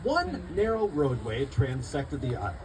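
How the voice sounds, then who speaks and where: A man reading his picture book aloud at a live event, expressive and rhythmic in the rhymes, with informal asides to listeners. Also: medium pitch, measured pace, crowd noise. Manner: expressive, rhythmic storytelling of rhyming verse. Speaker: children's book author reading his own book. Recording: distant mic, noisy live room.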